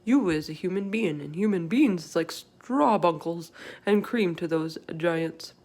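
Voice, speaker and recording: Storybook role: kindly, easily saddened giant with mangled childlike grammar and creaky tone, performed by a woman. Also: lowish voice, a little slow, a little mellow. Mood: secretive. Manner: mangled grammar and silly invented words. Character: gentle, tearful, kindly giant with jumbled childlike speech.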